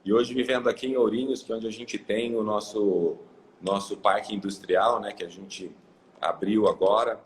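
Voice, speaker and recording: Low-voiced brazilian man rambling on a call. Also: steady tone, normal speed, slight background noise, rough recording. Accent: Brazilian Portuguese. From Ribeirão Preto, Brazil. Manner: rambling, many fillers and restarts. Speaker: guest, coffee exporter. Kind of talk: casual live interview. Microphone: video call.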